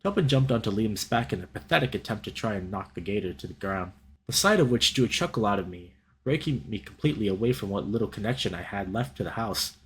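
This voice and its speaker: A man reading a visual novel aloud as a let's play host, in an expressive, dramatic storytelling style with casual reactions. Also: on the low side, fast, rough recording. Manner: animated, dramatic reader.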